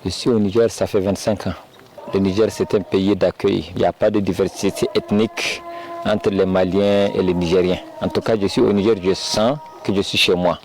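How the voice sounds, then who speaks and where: Male interviewee, deep monotone voice, calm and repetitive. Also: normal speed.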